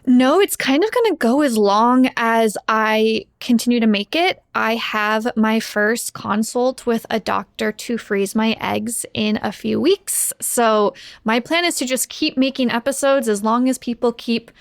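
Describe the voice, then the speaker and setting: Female podcast guest, American, casual and chatty, gravelly fry, dry studio sound. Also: a little high, a little slow, dry recording.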